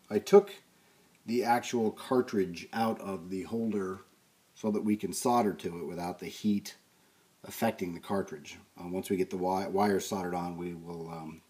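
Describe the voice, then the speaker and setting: Low-voiced man talking through a repair. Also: darkish tone. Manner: unscripted, thinking aloud while working. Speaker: host of a vintage record player restoration video series. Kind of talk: casual hands-on repair demonstration talk.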